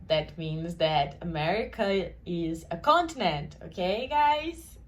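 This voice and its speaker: A woman's voice: on the low side, a little mellow, a little hiss or hum, rough recording. A young woman in her twenties with a Brazilian Portuguese accent, teaching to camera in a small room and often checking in with "you see?". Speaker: language teacher. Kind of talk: casual lesson to camera.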